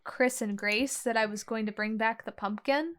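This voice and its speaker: A woman's voice: a little high, steady tone, normal speed, fairly bright tone. A woman playing a tabletop role-playing game, describing her character's actions in the first person in conversational, piecemeal phrases.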